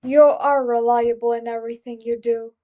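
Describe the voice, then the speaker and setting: A woman's voice: on the high side, steady tone, moderate pace, close to an everyday voice. A woman voicing a reserved grown man who is flustered and submissive, speaking hesitantly and pleadingly. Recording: dull lo-fi recording.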